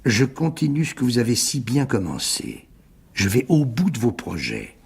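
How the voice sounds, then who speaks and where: A wily middle-aged businessman in a radio mystery play. His voice is husky and creaky, with swooping, theatrical intonation. He is unctuous and condescending, with a cowardly streak. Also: mid-range voice, very animated, normal speed, darkish tone, exaggerated voice. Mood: unctuous, persuasive. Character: wily older businessman, cowardly then smugly blackmailing.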